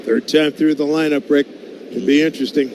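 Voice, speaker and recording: A man's voice: a little high, fairly even intonation, a little fast. A man giving short analysis as a color commentator on a live radio baseball broadcast. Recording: clear outdoor ballpark noise behind.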